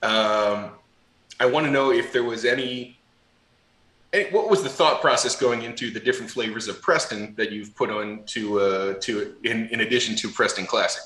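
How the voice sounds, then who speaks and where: Bright, low-pitched man in a roomy space. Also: normal speed. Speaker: panel member. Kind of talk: casual livestream chat. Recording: roomy, echoing sound.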